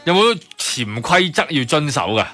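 A bright-voiced man with a Hong Kong Cantonese accent hosts a radio interview. His pitch sits on the low side but moves in lively swings as he asks and echoes back. Music plays behind him. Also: normal speed. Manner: inquisitive, echoes back what the guest says. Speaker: radio host. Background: background music.

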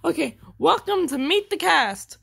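A woman giving a casual, halting show-and-tell at home into a handheld phone, often restarting her phrases. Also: very high-pitched, animated, creaky, a bit bright. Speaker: amateur video creator and character voicer. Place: room at home.

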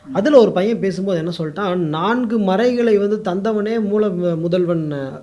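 A man's voice: high voice, normal speed, dark tone. A man with a Tamil Nadu accent giving opinionated interview answers as a guest commentator, mixing in English words. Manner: code-mixes English words into speech.

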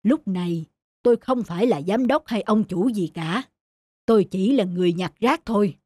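An actor playing a modest older resort owner in a cartoon, speaking in a measured, firm, unassuming way. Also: male voice, high voice, a little mellow, slightly rough, dry recording. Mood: calm, modest. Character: calm, modest older male resort owner picking up litter. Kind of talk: cartoon voice acting. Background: faint music.

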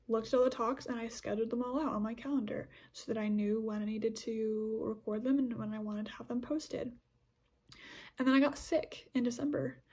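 A woman's voice: fairly high pitch, a little mellow, breathy edge. A young woman in her twenties hosting a podcast, talking in a chatty, rambling way with many restarts. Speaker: podcast host. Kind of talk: casual scripture discussion podcast.

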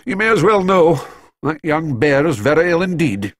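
Man playing solemn doctor, blunt, bit husky. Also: on the high side, sing-song, normal speed, no room sound, studio quality, voice pushed up. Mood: grave. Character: grave older Scottish male doctor.

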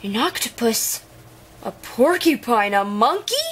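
A woman reading a children's picture book aloud in an animated storytelling style. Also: a little high, slow, slight background noise.

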